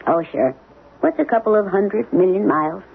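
An actress in a 1970s radio drama playing a worried, practical middle-aged wife, coaxing and questioning in plain words. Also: female voice, medium pitch, very animated, normal speed, creaky, husky, heavily acted. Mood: curious, doubting. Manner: probing, coaxing, plain-spoken. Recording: tinny, hissy old radio broadcast.

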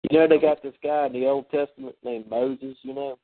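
A man hosting a spiritual discussion, animated and acting out the voices he quotes. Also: medium pitch, brisk, no echo. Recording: dry phone line.

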